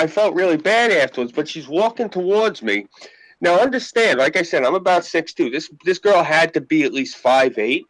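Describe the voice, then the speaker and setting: A man in his late thirties with a deep voice, ranting casually and profanely with frequent laughter. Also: a bit bright, no echo, rough recording. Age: late 30s. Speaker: podcast co-host. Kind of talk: casual chat.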